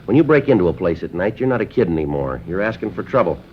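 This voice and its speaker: Low, clipped American police detective. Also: male voice, quick pace, slight background noise. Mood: stern lecturing. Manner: clipped, deadpan, no-nonsense. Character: stern, matter-of-fact male police sergeant detective. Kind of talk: 1950s American radio police drama, dialogue and narration. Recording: muffled vintage radio recording.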